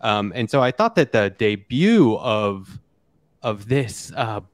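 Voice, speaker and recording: A man hosting a casual political podcast, talking in a halting, conversational way as he interviews his guests. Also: on the low side, big pitch swings, no echo.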